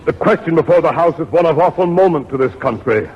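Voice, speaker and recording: An actor playing a fiery, impatient colonial orator with a declamatory delivery in a 1940s radio drama. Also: male voice, fairly high pitch, expressive, fast, breathy edge. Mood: impassioned. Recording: dull, faintly noisy 1940s radio recording.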